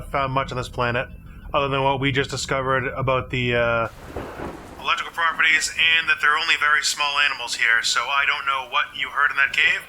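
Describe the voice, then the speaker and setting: A man's voice: medium pitch, pushed and bright, slight background noise, poor audio. A man improvising casually as a player in a tabletop role-playing game.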